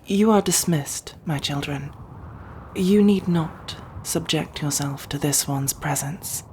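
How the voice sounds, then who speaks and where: Casting: woman to play stern, dutiful matriarch queen for ASMR roleplay; formal, commanding, very slow delivery, clearly noisy ambient backdrop. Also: low voice, a little mellow. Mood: weary, commanding. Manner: formal, imperious, measured. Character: regal, resentful, dutiful sorceress matriarch queen of desert world.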